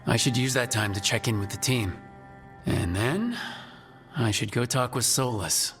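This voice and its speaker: An actor playing a pragmatic male team leader in a video game, measured and reassuring. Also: medium pitch, a bit bright, close to an everyday voice. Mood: resolute. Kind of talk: video game dialogue acting. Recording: long hall reverb, music underneath.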